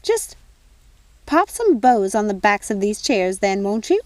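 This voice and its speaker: A woman's voice: medium pitch, very animated, unhurried, no room sound. A woman voicing a fussy, bossy fairy godmother in an audiobook, squealing and chiding as she talks. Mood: fussy, disapproving. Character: fussy, prim, bossy fairy godmother, easily vexed sister. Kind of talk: audiobook character voice.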